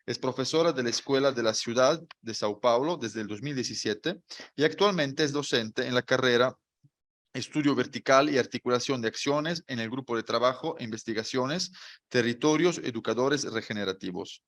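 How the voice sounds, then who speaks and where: Male moderator of middle pitch, reading a formal guest introduction. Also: moderate pace, a bit bright. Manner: formal, reads speaker bio. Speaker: conference moderator.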